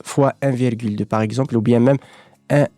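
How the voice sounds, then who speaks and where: A man presenting a software tutorial, explaining things step by step. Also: mid-range voice, fairly even intonation, fairly quick, no room sound.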